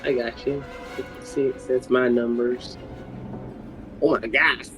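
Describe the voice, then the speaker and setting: A man's voice: medium pitch, level tone, takes it slowly, over music, rough recording. A man playing a tabletop game and chatting casually with the group.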